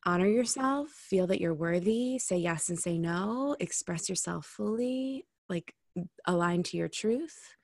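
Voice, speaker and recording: Female guest, intimacy coach, frank and reflective, slight vocal fry, dry close sound. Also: on the low side, lively intonation, measured pace, darkish tone, no room sound. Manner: candid, reflective, swears casually. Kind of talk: casual podcast conversation.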